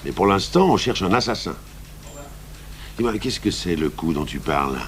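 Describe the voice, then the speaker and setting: Seasoned police commissioner, very low voice, terse and unhurried questioning, vintage radio crime serial. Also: male voice, animated. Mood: brisk, authoritative. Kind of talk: 1980s French radio crime drama acting. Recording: faint noise, old radio broadcast recording.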